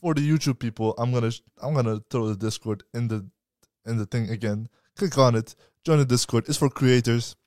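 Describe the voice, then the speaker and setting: A young man co-hosting a live podcast stream in casual, relaxed chat, promoting the show's channels. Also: mid-range voice, brisk, few pauses, no room sound, clean studio sound. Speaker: podcast co-host.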